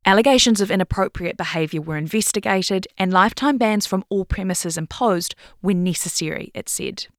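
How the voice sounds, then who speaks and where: A woman's voice: medium pitch, a little fast, dry recording, clean studio sound. A woman reading a scripted long-form news feature as narrator.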